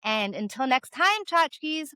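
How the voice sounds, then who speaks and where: Bright, chatty young woman vlogger. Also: slow, smooth, dry recording, clean studio sound. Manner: chatty, rambling, lots of "like" fillers; animated, jumps up in pitch for emphasis. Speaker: vlogger host. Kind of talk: casual talk to camera.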